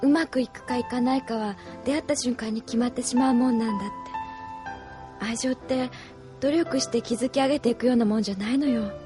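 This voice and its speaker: A woman's voice: fairly high pitch, brisk, music in the background, lightly acted. An actress playing a troubled, wistful young woman in an anime audio drama, reflective, emotional and self-reproaching. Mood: wistful, resigned.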